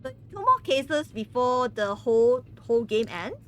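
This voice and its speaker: Woman streamer, bright higher voice, giggly and stumbling, casual chat. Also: big pitch swings, normal speed. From Malaysia. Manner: giggly, stumbles and repeats words. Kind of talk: casual chat with viewers during a game stream.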